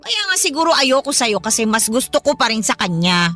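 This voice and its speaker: Shrill, hot-tempered Filipino grandmother. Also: sing-song, a little fast, soft background music. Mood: hostile, exasperated. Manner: nagging, blunt, sharp-tongued. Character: hot-tempered, hostile grandmother scolding her son's partner. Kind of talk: Filipino radio drama acting.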